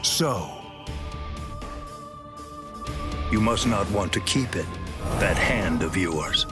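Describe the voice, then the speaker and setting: An aristocratic anime game warrior, cold and aloof. The voice is lowish and very unhurried, formal and quietly threatening. Music plays clearly behind it. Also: male voice, lively intonation. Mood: cold menace. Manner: formal, measured, stern. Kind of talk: English anime game dub acting.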